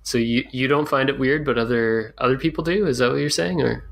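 Male interviewer, gravelly, asks probing follow-ups, casual. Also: medium pitch, fairly quick, fairly bright tone. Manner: plain, probing follow-up questions with restarts.

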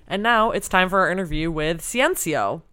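A woman hosting a casual podcast from an office studio, with a low-set voice that stays smooth and clear. She chats in an upbeat, easygoing way and laughs easily. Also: lively intonation, normal speed, a bit bright. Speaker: podcast host. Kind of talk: casual podcast chat and interview.

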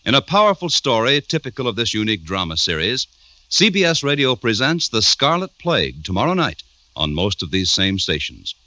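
Animated, bright-voiced male radio announcer. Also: lowish voice, fairly quick, no echo. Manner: polished, emphatic, formal. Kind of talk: 1950s radio announcing, scripted promo. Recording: vintage radio recording.

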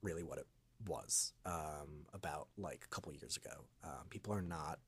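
A man speaking as a guest voice actor in a reflective interview, earnest and rambling, often halting as he finds his words. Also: extremely low pitch, fairly bright tone, breathy edge, no echo.

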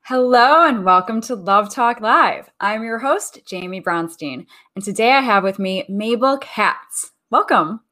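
A woman hosts a talk radio show in a friendly, upbeat way. Her voice sits in an ordinary middle pitch. She reads an introduction smoothly before moving into questions. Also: expressive, unhurried, hardly any pauses, sharp tone. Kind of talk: interview host reading a guest bio.

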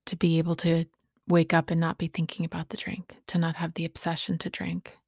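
A woman in her late forties giving a reflective personal monologue as a podcast host, speaking haltingly in short phrases with a breathy, husky voice. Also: low voice, completely flat delivery, darkish tone, dry recording, studio quality. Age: late 40s.

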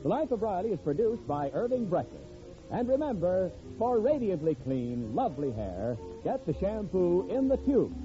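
A male American announcer reads sponsor copy in 1940s radio style, with a highish voice that rises and falls freely. The old recording is muffled and music plays clearly behind him. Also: sing-song, moderate pace. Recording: muffled vintage radio broadcast.

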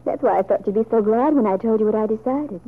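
A woman's voice: fairly high pitch, quick pace, natural voice. An actress playing an earnest, resolute young woman seeking approval in an old-time radio serial. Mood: earnest, determined. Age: young adult. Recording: dull, lo-fi vintage radio recording.

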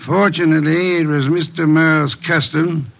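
Imperious radio detective: highish, creaky voice, dry, pompous, exact diction. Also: male voice, steady tone, moderate pace, rough recording. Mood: commanding. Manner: pompous, precise, formal diction. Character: shrewd, imperious middle-aged detective, dry and condescending. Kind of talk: classic American radio mystery acting. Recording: old radio drama recording.

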